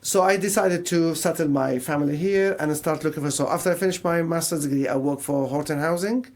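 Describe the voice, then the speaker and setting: Syrian man with higher-pitched voice, halting English. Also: darkish tone. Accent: Syrian Arabic. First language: Arabic. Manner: halting, searching for words in non-native English. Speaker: radio interview guest, mental health charity service manager. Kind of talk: conversational radio interview, personal storytelling.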